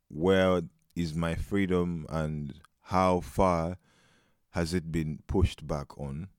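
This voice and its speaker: A man with a Ugandan accent reflects thoughtfully in a podcast discussion, framing his points as questions. His voice is very low and dark, with a mellow warmth, and it is recorded close and dry. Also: no room sound. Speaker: podcast guest.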